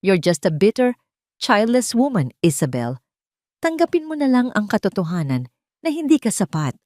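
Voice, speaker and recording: A woman's voice: medium pitch, big pitch swings, moderate pace, a little mellow, dry recording, clean studio sound, voice pushed up, natural voice. Woman acting out a cruel, scheming husband. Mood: angry contempt.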